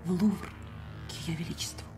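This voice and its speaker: A woman's voice: moderate pace, close to an everyday voice. An actress playing an anxious, devoted young lady in a radio drama, urgent and secretive in manner. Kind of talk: theatrical radio drama acting. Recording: radio play with music behind.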